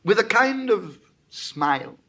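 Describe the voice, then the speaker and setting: An actor playing a genial, wily Roman patrician in a Shakespearean drama, with a husky voice and a teasing, theatrical delivery. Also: male voice, high voice, sing-song, slow pace, bright, forceful tone, full character voice. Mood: sly storytelling. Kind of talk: classical Shakespearean stage acting.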